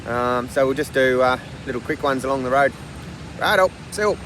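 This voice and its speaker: A middle-aged Australian man with a croaky voice from a flu, chatting casually to camera in a laid-back, slangy way. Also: medium pitch, fairly quick, fairly bright tone. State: getting over a flu. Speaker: outdoor adventure vlogger. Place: outdoors and in a vehicle on the road. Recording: noisy outdoor and vehicle background.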